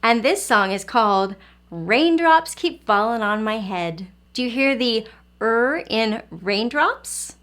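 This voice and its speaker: A woman's voice: on the high side, lively intonation, slow, a bit bright. A woman reading a children's picture book aloud as a teacher, expressively and addressing young listeners directly.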